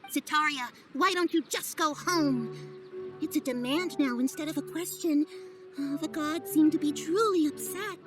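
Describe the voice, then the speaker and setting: A woman's voice: sits high, moderate pace, music in the background, close to an everyday voice. A woman playing a fortune teller in a game cutscene, posing with hesitant solemnity as the mouthpiece of the gods. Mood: solemn bluffing.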